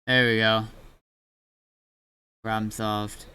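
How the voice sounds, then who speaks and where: A man's voice: fairly low pitch, level tone, takes it slowly, sharp tone, clean tone. A man chatting casually on a gaming livestream, running a roleplay server, laid-back and blunt, swearing freely.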